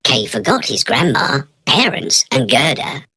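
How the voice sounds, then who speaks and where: A woman's voice: extremely low pitch, big pitch swings, unhurried, bright, forceful tone, husky, no room sound. A woman narrating a children's storybook from a script.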